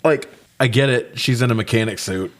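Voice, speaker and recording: A man hosting a movie review podcast, recapping the film casually with opinionated, sweary commentary and animated retelling. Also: mid-range voice, brisk.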